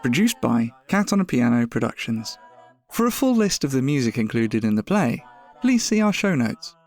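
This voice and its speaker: A man's voice: medium pitch, very animated, moderate pace, darkish tone. A man reading scripted narration as a podcast announcer. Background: music.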